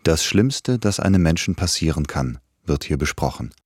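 A man reading a formal, measured introduction to a radio documentary. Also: rumbling low, animated, slightly rough, no room sound, studio quality. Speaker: radio presenter.

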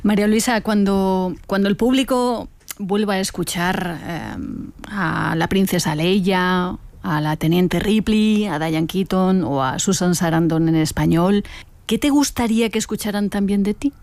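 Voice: medium pitch, a little slow, a little mellow, dry recording. An interviewer who asks career questions and keeps the guest going with short encouraging interjections.

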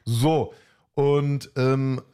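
A man's voice: medium pitch, steady tone, extremely slow, dark tone, clear, resonant tone. A man in his forties co-hosting a talk podcast, commenting casually and hesitantly. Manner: hesitant, many fillers and restarts. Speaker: podcast co-host.

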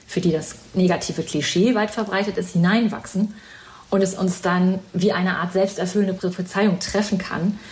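A woman's voice: fairly low pitch, fairly even intonation, moderate pace, darkish tone. A woman in her forties explaining as an academic expert in an interview, fluent and precise. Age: 40s. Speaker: interviewee, professor.

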